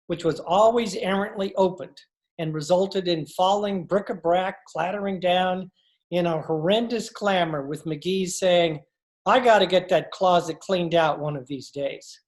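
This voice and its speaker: A woman's voice: fairly low pitch, darkish tone. A woman reading scripted narration as the announcer of an old-time radio show.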